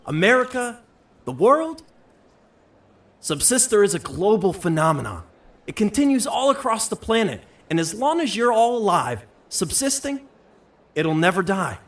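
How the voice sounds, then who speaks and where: A man playing a sentimental reality show host giving a grand farewell with dramatic pauses. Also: sits high, sing-song, distant mic. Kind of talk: theatrical stage announcement to an audience.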